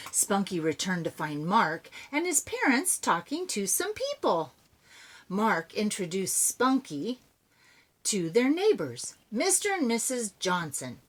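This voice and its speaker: An older woman reading a children's story aloud expressively, adding chatty asides to her young listeners. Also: mid-range voice. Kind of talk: animated read-aloud storytelling.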